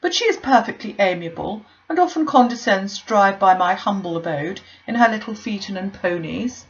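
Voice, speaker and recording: A pompous young clergyman, voiced by a woman reading aloud, holds forth at length in fawning, self-important phrases. The voice rises and falls in a lively way, and the recording sounds dull and lo-fi. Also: mid-range voice, moderate pace, natural voice. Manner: pompous, long-winded, flattering.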